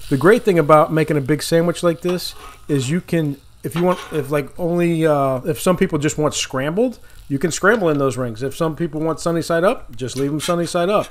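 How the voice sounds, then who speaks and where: A man's voice: a little high, a little fast, slight background noise. A man hosting a casual cooking video outdoors by a lake, talking loosely while he cooks, correcting himself and chuckling at small mistakes. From Florida. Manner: relaxed, rambling, self-correcting, chuckles at mistakes. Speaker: cooking channel host. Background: sizzling food on a griddle.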